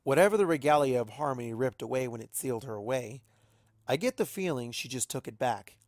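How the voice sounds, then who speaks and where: A man narrates a fantasy story in a dramatic, scripted reading style. His pitch is medium, and the recording is close and dry. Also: animated, a little fast, darkish tone, dry recording. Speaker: amateur fan fiction reader.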